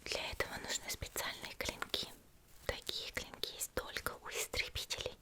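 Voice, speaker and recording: A woman playing a petite, gentle and elegant female demon slayer in a whispered ASMR roleplay, soft, reassuring and unhurried. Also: lightly acted. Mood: calm, confiding.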